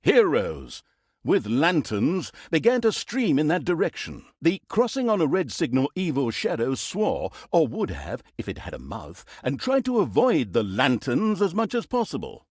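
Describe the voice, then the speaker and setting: An actor playing a sardonic, theatrical narrator of a villainous fantasy video game, telling it like a comic storybook with mock drama and wry asides. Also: male voice, a little high, huge pitch swings, a little slow, slightly rough, no echo, studio quality, full character voice. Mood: wry, dramatic.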